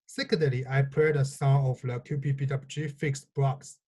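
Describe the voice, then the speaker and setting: Male research presenter, scripted and halting. Mellow, husky tone. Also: medium pitch, fairly even intonation.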